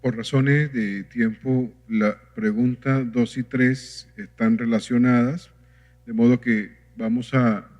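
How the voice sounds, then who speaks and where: A man's voice: mid-range voice, darkish tone, dull audio. Formal man moderating a panel. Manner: formal, unhurried, with hesitant fillers. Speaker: forum moderator. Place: conference hall. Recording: reverberant hall.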